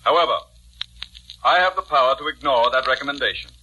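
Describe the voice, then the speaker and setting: An actor playing a stern, unmoved judge in a formal, declamatory 1940s radio drama style. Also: male voice, medium pitch, brisk, dull audio, a little hiss or hum. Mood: stern, cold. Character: stern, unmoved male judge, coldly authoritative. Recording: bright, thin 1940s radio recording.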